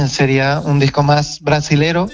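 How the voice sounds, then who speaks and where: A man's voice: on the high side, steady tone, a little slow, highs cut off. A man from Portugal with a Portuguese accent, a musician guest speaking in a radio interview, thoughtful and searching for words. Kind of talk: interview conversation. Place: radio studio.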